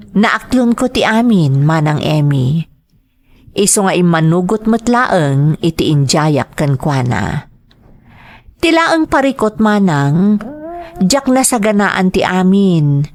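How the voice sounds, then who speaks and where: A woman's voice: fairly low pitch, darkish tone, no room sound. Dry-recorded woman narrating a dramatized story. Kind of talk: scripted first-person story narration.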